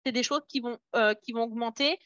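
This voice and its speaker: A woman's voice: a little high, steady tone, brisk, a bit bright, no echo, highs cut off. Hesitant, encouraging French-speaking woman trainer. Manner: hesitant, many fillers and restarts, encouraging. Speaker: network marketing team trainer. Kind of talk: casual motivational training talk.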